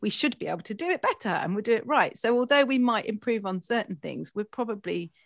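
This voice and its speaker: A woman's voice: mid-range voice, animated, fast, creaky, no room sound, studio quality. A middle-aged woman hosting a casual interview, with informal, tentative phrasing. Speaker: interview host. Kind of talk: casual chat.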